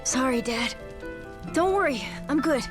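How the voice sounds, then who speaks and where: A woman's voice: high voice, animated, a little slow, over music. A woman voicing a good-natured, sickly young boy in a video game. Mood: reassuring. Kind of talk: video game cutscene acting.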